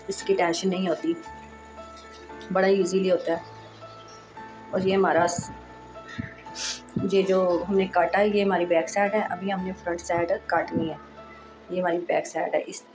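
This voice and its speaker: Hoarse woman chatting through a sewing tutorial. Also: on the low side, rough recording. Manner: chatty and reassuring, frequent "accha ji". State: hoarse, sore throat. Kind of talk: casual step-by-step sewing tutorial.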